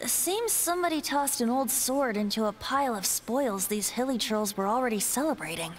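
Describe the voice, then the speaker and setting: A woman's voice: fairly high pitch, animated, moderate pace, lightly acted. A woman voicing a youthful male bard in a video game, playful, theatrical and poetic.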